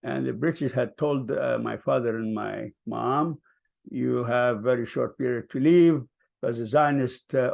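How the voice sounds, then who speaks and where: An older man from Palestine, telling his family story at a vigil in a soft, hesitant way with many ums. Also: medium pitch, gravelly. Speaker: vigil speaker. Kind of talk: personal storytelling.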